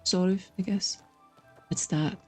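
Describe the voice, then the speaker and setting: A woman's voice: fairly low pitch, fairly even intonation, unhurried, slightly rough. A woman livestreaming a video game, chatting casually and reading dialogue aloud in a musing, hesitant manner. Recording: music behind the voice. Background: game music.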